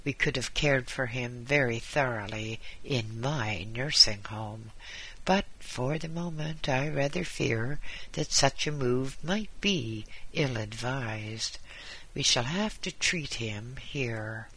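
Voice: medium pitch, slow pace, fairly bright tone, slightly rough, exaggerated voice, poor audio. A narrator voicing a pompous private doctor who speaks formally and sedately, with condescension. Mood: grave, pompous. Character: pompous, measured private doctor, smoothly mercenary.

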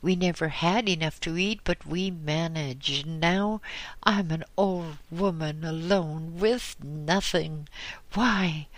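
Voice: medium pitch, slow, no room sound, pitched above the performer's own voice, close to an everyday voice. A narrator doing a frightened old widow. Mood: beseeching. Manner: trembling, beseeching. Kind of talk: audiobook character voice.

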